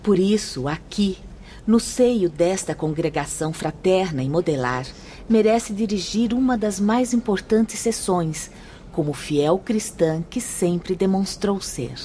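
A woman plays a reverent female spirit guide with a soft, mellow voice and a Brazilian accent. Her manner is formal and courteous, in radio soap style, over faint hiss. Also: mid-range voice, lively intonation, unhurried, close to an everyday voice. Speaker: actor in a radio drama.

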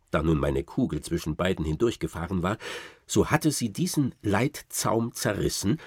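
A man playing a boastful nobleman huntsman who tells tall tales with theatrical, self-satisfied emphasis in a radio drama, his voice gravelly and husky. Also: sits low, no room sound, clean studio sound, heavily acted. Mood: savouring, matter-of-fact. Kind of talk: dramatic literary storytelling for radio.

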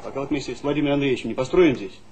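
A man's voice: medium pitch, rapid-fire, darkish tone, close to an everyday voice. An actor playing a hot-headed, idealistic young village man, impassioned and argumentative, in a Soviet stage comedy. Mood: earnest, stubborn. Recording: faintly noisy 1970s theatre recording.

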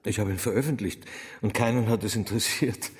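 A man's voice: on the low side, a little fast, close to an everyday voice. Reserved, stubborn young man played by an actor. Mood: quietly stubborn. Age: young adult. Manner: terse, quietly insistent. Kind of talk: German radio drama acting. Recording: long hall reverb.

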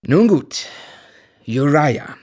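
An actor playing an earnest, courteous young gentleman who narrates and speaks in a formal, measured way in a German literary radio drama. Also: fairly high pitch, big pitch swings, slightly rough, no room sound, clean studio sound, heavily acted. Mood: polite, guarded.

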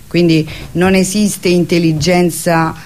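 A woman's voice: fairly low pitch, flat delivery, slow, darkish tone. A woman giving her opinions as a guest in a casual conversation.

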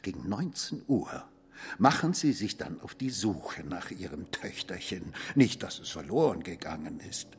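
A husky-voiced police commissioner in a radio drama. He questions his suspect with insinuating, theatrical slowness, stretching out pauses and swinging his pitch widely as he lays out his theory. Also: very animated, full character voice. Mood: needling, mocking. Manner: theatrical, needling, drawn-out storytelling with pointed pauses. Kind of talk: German radio play acting.

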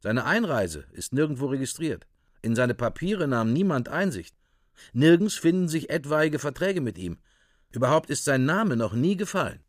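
A man's voice: medium pitch, very animated, normal speed, no room sound, clean studio sound. A man giving scripted, report-like dramatic narration in a radio play.

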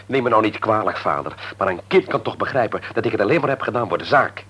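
A man's voice: lowish voice, animated, fast talker, fairly bright tone, husky. An actor in a radio drama playing an aggrieved grown son who argues insistently in his own defence. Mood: defensive. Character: indignant grown son, demoted at work, stubbornly defending himself. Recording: old radio play recording.

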